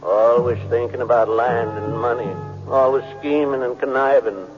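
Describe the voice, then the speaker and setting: An actor playing the mournful ghost of a dead rancher, speaking solemnly and slowly with foreboding in an old radio western. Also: male voice, mid-range voice, steady tone, music in the background, close to an everyday voice. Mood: solemn warning. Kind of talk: old-time radio western drama acting. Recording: dull vintage radio recording.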